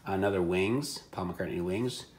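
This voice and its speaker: A man with a notably low voice talks casually, like a reseller going through a haul. His delivery is offhand and wry, with dry joking asides. There is a little background noise around the voice. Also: normal speed, a little mellow, echoey room. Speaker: reseller showing secondhand finds. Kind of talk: casual chat.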